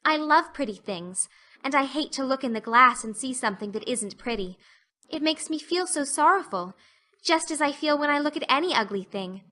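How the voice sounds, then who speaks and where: A woman acts an imaginative young orphan girl in a storybook drama. The voice is clear-edged, bright and fairly high, pouring out earnest, flowery chatter with a dreamy temper. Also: expressive, moderate pace. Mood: plaintive. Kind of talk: audiobook acting.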